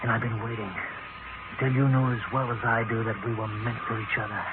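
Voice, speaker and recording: An actor playing a sly, bold lab assistant and seducer, insistent and colloquial, in 1940s radio drama style. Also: male voice, medium pitch, little pitch movement, brisk, natural voice. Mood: insistent, seductive. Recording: very noisy, narrow-band vintage radio recording.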